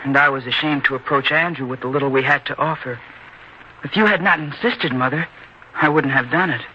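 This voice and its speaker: An actor playing an earnest, stubborn young son in an old-time radio drama, speaking in an eager, theatrical way. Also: male voice, mid-range voice, fairly quick, close to an everyday voice. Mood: marveling. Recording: dull, noisy old-time radio recording.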